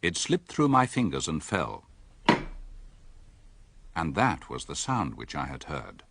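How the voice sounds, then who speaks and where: An actor playing a mild village doctor in a radio drama, speaking and narrating in a measured, matter-of-fact way. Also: male voice, rumbling low, huge pitch swings, creaky, background noise, full character voice. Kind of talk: radio drama dialogue and first-person narration.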